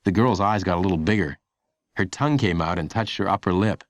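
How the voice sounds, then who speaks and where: A man's voice: deep voice, fairly quick, no echo. A man reading a children's audiobook as a scripted story narrator.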